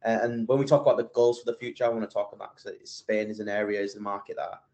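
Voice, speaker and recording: A man's voice: fairly low pitch, little pitch movement, fast, a little mellow, muffled recording. A man, a podcast guest chatting casually and rambling with plenty of fillers and restarts. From England. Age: 20s. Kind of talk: casual interview chat.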